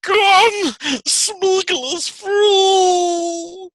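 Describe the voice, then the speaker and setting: An actor playing a wretched male creature who argues with himself, hissing and wheedling in a raspy whisper, turning to snarls. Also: extremely high pitch, level tone, drawn-out words, a bit bright, no echo, exaggerated voice. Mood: quarreling. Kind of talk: film acting.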